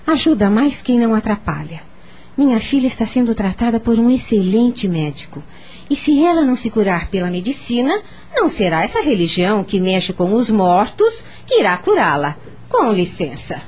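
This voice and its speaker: Troubled devout mother, radio drama, raspy edge, animated intonation, emphatic. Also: mid-range voice, moderate pace. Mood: indignant. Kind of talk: radio soap opera acting.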